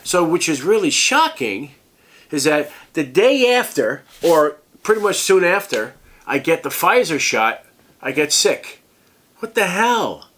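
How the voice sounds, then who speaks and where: A sick, congested man rambling through a casual vlog as a tech review host, halting and restarting often. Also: fairly high pitch, big pitch swings, fairly bright tone.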